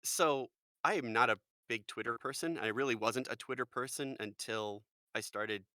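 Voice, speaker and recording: Bright-voiced man in his forties. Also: moderate pace, no echo, studio quality. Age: 40s. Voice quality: fairly high-pitched voice. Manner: chatty, animated storyteller, quotes people in lively voices. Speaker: podcast guest, voice actor. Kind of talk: casual chat.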